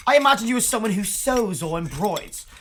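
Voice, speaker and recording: A woman's voice: mid-range voice, very animated, fairly quick, fairly bright tone, a little hiss or hum. A woman playing a snobbish, condescending young English lord in a period radio drama. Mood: patronizing. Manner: pompous, condescending.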